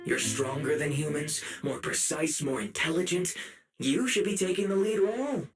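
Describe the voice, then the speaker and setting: A man's voice: fairly high pitch, moderate pace, over music, close to an everyday voice. A man playing a sinister, taunting video game sprite who poses as a caring advisor, theatrical and falsely sympathetic. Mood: persuasive. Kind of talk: dramatic video game voice acting.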